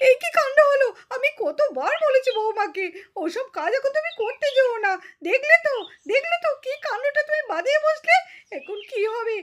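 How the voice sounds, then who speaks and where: A woman's voice: extremely high pitch, expressive, normal speed, a bit bright. A woman voicing an anxious, scolding village mother-in-law in a puppet drama, wailing and repeating her phrases.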